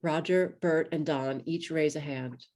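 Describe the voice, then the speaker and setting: A woman's voice: low voice, a little slow, a little mellow. A woman reading scripted stage directions as the narrator of a table read.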